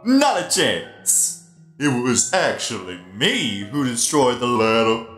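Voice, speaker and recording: A man's voice: mid-range voice, fairly bright tone. A man voicing a huge, friendly animatronic spider DJ for a comic dub, genial and playful as he explains things. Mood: amused, teasing. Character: giant friendly half-blind animatronic spider DJ. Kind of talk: comic dub character acting. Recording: roomy echo, music behind.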